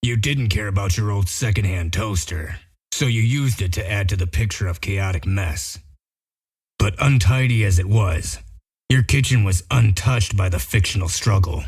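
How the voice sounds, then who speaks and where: A man playing a gruff, shrewd wolf detective in a comic dub, explaining things in a methodical, sardonic way. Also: fairly low pitch, moderate pace, darkish tone.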